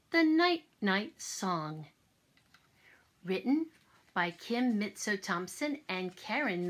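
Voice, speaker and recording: A middle-aged woman hosting a children's story time, speaking in an animated way straight to young viewers in a room at home. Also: mid-range voice. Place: small furnished room indoors.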